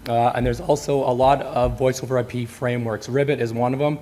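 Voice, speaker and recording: A man's voice: mid-range voice, little pitch movement, brisk, warm tone, slight background noise. A man acting as technical co-presenter, giving an informal explanation during a live presentation.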